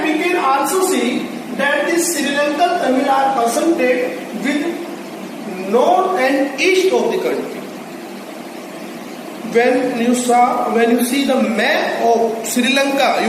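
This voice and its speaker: A male teacher giving a slow classroom lecture, repeating key numbers and phrases as he explains. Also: extremely high pitch. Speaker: school teacher. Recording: echoey hall, distant mic, background noise.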